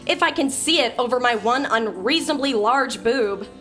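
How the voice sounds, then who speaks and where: Woman playing a sarcastic, high-voiced young coder. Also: animated, moderate pace, fairly bright tone, faint music behind. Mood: irritated. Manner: sarcastic, blunt. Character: sharp-tongued, impatient young woman coder.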